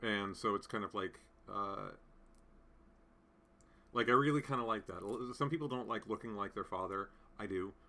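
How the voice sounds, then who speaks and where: A man's voice: lowish voice, normal speed, sharp tone. A man in his late thirties hosting a casual live chat from home, rambling with many fillers and restarts as he answers comments. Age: 30s. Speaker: livestream host.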